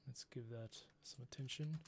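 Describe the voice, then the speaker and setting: A man composing on a livestream, muttering casual, halting commentary to himself as he works. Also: medium pitch, creaky. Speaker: music composer livestreaming his work. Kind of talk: casual thinking-aloud work commentary. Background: instrumental music playback.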